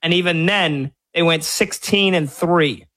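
High-voiced man hosting sports radio. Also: measured pace, a bit bright. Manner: argumentative, fires off rhetorical questions.

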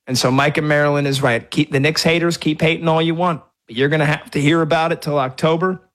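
A man hosting a sports talk radio show, hyped and celebratory, shouting catchphrases. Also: on the high side, steady tone, fast talker.

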